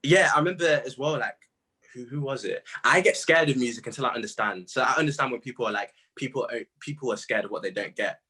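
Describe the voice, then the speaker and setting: A man in his twenties with a husky voice, a guest artist chatting casually and telling stories in animated slang. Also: medium pitch, quick pace. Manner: animated slangy storytelling, quoting own reactions. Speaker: interview guest, music artist. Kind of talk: casual chat.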